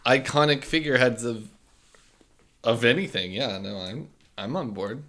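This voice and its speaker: A man's voice: mid-range voice, moderate pace, a bit bright. A man co-hosting a podcast, teasing his partner with dry humor in casual banter. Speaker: podcast co-host.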